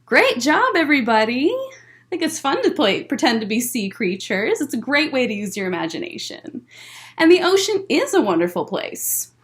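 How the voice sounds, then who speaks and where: High-pitched, animated woman hosting children's story time. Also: moderate pace. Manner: animated, simple child-directed phrasing, encouraging. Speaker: library story time host for young children. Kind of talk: children's story time, instructing and reading aloud.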